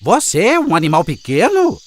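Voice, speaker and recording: A woman voicing a small, outspoken frog in a children's cartoon fable, expressive and over music. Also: on the low side, normal speed. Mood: amazed, curious.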